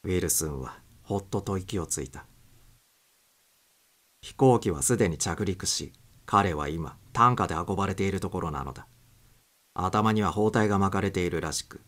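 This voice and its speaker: Low-voiced man narrating a suspense story. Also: normal speed, fairly bright tone. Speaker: audiobook narrator. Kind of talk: dramatic scripted narration of a suspense short story.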